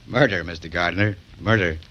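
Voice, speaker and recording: A man's voice: sits low, slow, muffled recording. An actor playing an oily, ingratiating salesman for a murder firm in 1940s radio crime drama style. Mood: smug, sly. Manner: ingratiating, hesitant polite sales patter.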